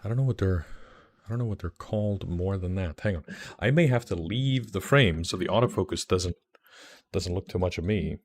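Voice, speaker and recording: A man's voice: sits low, moderate pace. A young Icelandic man chatting casually on a livestream, rambling and enthusiastic, with many fillers and restarts. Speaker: streamer.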